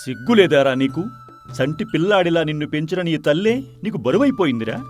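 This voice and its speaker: A man's voice: a little high, sing-song, fairly quick. A man playing an indignant village man in a moral story, blunt and reproachful, with emphatic questions and a streak of pity. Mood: scornful, angry. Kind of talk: dramatized moral story acting. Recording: music behind the voice.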